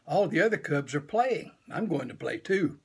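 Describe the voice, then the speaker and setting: An older man voicing an eager, affectionate little boy bear cub in a storybook, with a husky voice and simple, drawn-out exclamations. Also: fairly high pitch, big pitch swings, exaggerated voice.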